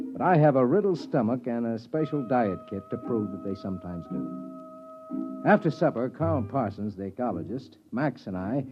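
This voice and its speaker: A man's voice: medium pitch, close to an everyday voice. An actor playing a wry space-expedition crewman who narrates a 1950s sci-fi radio drama with dramatic storytelling delivery. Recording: muffled old radio recording with music.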